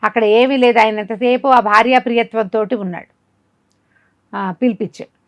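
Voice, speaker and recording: A woman's voice: fairly high pitch, fairly even intonation, moderate pace, dry recording, dull audio. A woman telling a folk tale informally, conversationally asking rhetorical questions as she narrates. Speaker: storyteller.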